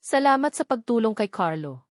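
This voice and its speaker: A woman's voice: fairly high pitch, very animated, normal speed, no room sound, clean studio sound. A woman with a Filipino accent voicing a guarded, hesitant young mother in a romance story. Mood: grateful, hesitant. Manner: reserved, hesitant.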